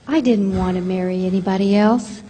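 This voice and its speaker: A woman playing a tender, teasing, devoted young wife in an old-time radio drama, speaking softly and slowly in a husky voice. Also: medium pitch, fairly even intonation, a little hiss or hum, close to an everyday voice. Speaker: actress in a live radio drama. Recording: reverberant theater stage recording.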